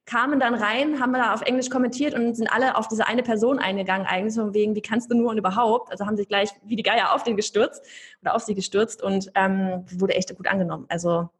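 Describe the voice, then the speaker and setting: A young woman talking casually as a podcast guest, lively and chatty with many fillers and restarts. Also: medium pitch, fast talker. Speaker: podcast guest, online course creator.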